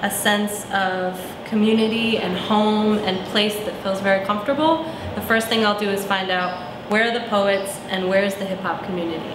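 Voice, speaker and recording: A thoughtful young woman speaking in an interview, pausing to find her words. Her voice sounds roomy and echoey, with steady background noise in the recording. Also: mid-range voice. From Arizona, USA. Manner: thoughtful, searching for words with many ums. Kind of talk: reflective personal interview.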